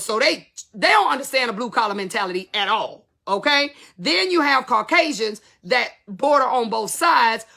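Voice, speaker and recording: High-voiced fifty yr old woman, bit gravelly, blunt and emphatic, casual business talk. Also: pushed and bright. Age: 50s. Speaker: salon business consultant.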